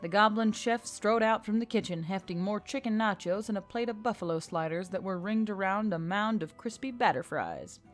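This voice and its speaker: A woman's voice: mid-range voice, moderate pace, few pauses. A woman reading a scripted fantasy story as an audiobook narrator. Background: music.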